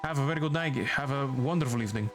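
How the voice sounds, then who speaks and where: A man's voice: mid-range voice, fast. A Venezuelan man with a thick Maracaibo accent, a native Spanish speaker, chatting playfully while he streams a video game and reads its text aloud. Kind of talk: casual live-stream commentary while gaming. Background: game music.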